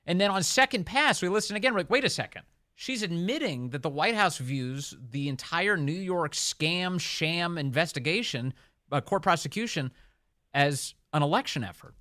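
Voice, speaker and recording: A male talk radio host with a bright, forceful voice set a little high. He speaks conversationally about politics, recorded dry and close as in a broadcast studio. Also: lively intonation, normal speed, no room sound.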